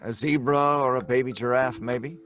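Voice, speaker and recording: An actor playing a skeptical husband in a live audio drama, dismissive and wearily reassuring. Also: male voice, mid-range voice, fairly even intonation, moderate pace, a bit bright, lightly acted. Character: skeptical adult husband George, father. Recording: live stage recording with faint music.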